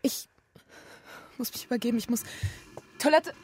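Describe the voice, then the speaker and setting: A woman acting an exhausted, evasive young woman under police questioning in a naturalistic radio crime drama, halting and pleading. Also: fairly high pitch, a little mellow, husky, soft background music. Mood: queasy, pleading.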